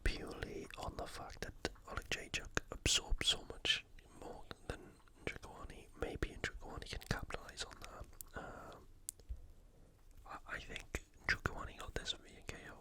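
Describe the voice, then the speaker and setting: Whispering ASMR host. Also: a little slow. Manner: unscripted, informal, thinking aloud. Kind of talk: whispered ASMR fight preview commentary.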